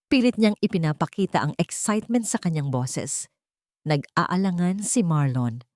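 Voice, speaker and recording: A woman's voice: fairly low pitch, expressive, normal speed, a little mellow, no room sound, clean studio sound. A woman reading a scripted dramatic story as its narrator. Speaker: narrator of romantic drama stories.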